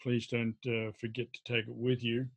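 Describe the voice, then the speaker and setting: An older man, explaining informally to camera in a halting, hesitant way. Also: medium pitch, completely flat delivery, gravelly, breathy edge, no echo, highs cut off. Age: older, around 70s. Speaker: amateur radio vlogger. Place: indoors at a desk.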